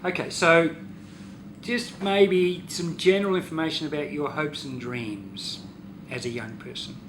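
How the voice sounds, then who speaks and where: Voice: mid-range voice, a little slow. An interviewer, putting simple guiding questions to students. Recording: clear background noise.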